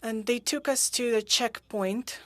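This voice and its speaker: A woman interpreting witness testimony at a hearing into English, halting and pausing often with fillers. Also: a little high, fairly even intonation, a bit bright, no echo. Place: interpreter booth at a parliamentary hearing.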